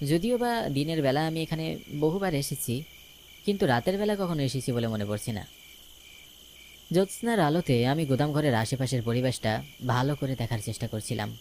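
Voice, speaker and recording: A man's voice: mid-range voice, darkish tone, slight background noise. A man narrating a scripted first-person ghost story as a dramatic storyteller. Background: music.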